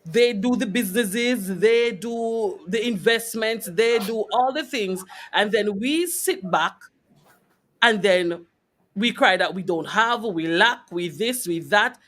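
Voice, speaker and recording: A man's voice: sits high, slow, a bit bright. A man hosting a conversational interview, speaking emphatically and repeating key phrases for weight. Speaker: interview host. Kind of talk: conversational faith-based interview.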